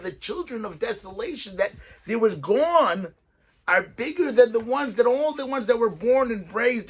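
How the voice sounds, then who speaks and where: A man, a rabbi, giving a weekly Torah talk, reading verses and explaining them in lively English. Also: high-pitched, vocal fry, slightly rough. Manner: mixes Hebrew verses with English explanation, animated.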